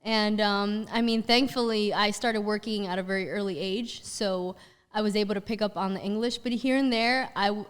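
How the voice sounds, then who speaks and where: A woman from Miami with a Miami accent, Spanish her first language, chatting relaxed as a podcast guest and laughing easily. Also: mid-range voice, moderate pace, clear, resonant tone, big-room sound. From Miami, Florida. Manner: relaxed, laughs easily, fillers like "you know". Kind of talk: casual interview chat.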